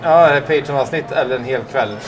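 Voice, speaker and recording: A man in his thirties co-hosting a podcast, trading dry quips and laughs in a pub. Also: medium pitch. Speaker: podcast co-host. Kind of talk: casual pub banter. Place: busy pub. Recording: noisy pub with crowd chatter. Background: crowd chatter.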